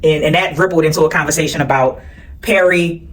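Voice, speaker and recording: A woman's voice: low voice, fairly quick, no echo. A woman in her forties talking casually to her viewers in a vlog, chatty and direct, addressing them as y'all. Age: 40s. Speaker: vlogger. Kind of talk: casual vlog talk to camera.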